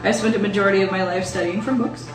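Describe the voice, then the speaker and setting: A woman's voice: medium pitch, steady tone, fast talker. A woman playing a tabletop game, casually reciting her character's backstory. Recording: echoey, distant mic, music behind.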